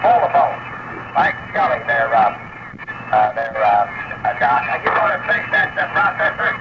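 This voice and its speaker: Gravelly, animated man on noisy ham radio. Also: mid-range voice, normal speed. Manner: folksy, rambling, mildly profane, animated storyteller. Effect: shortwave radio transmission. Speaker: amateur radio operator. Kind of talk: casual ham radio chat. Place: amateur radio station. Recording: noisy, muffled 1980 shortwave radio contact.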